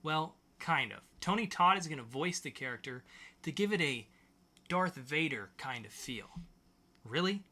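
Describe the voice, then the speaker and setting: A man's voice: on the high side, lively intonation, normal speed, sharp tone. A man hosting a podcast, reading scripted pop-culture news in an announcer-like way with sarcastic quips. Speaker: podcast host.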